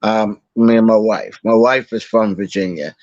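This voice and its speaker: A man, a guest telling his story slowly in a casual interview, halting with many fillers and restarts. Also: fairly low pitch, fairly even intonation, darkish tone, no echo, dull audio. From Boston, Massachusetts. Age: 40s.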